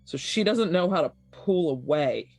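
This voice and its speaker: A woman's voice: low voice, expressive, normal speed, a little mellow, faint music behind. A woman narrating her character's actions in a tabletop game, intense and searching, repeating her phrases. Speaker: tabletop player.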